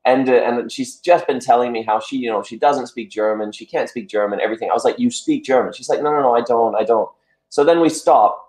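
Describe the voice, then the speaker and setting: A man guest in a casual chat, telling lively anecdotes and acting out what others said. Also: fast, breathy edge, low-quality recording. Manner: animated anecdotes, acts out quotes with raised pitch. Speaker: guest, dancer. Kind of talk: casual storytelling interview.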